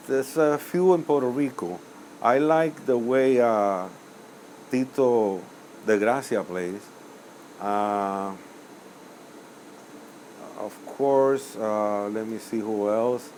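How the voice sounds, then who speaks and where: An older man from Cuba, a musician answering interview questions in a rambling, hesitant way with many pauses and self-corrections. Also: lowish voice, a little mellow, a little hiss or hum, low-quality recording. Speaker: musician guest.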